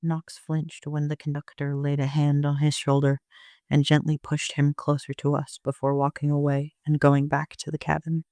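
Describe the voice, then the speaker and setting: Male amateur narrator: slightly high voice, flat and understated, gravelly fry, first-person story reading. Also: normal speed, dry recording, clean studio sound.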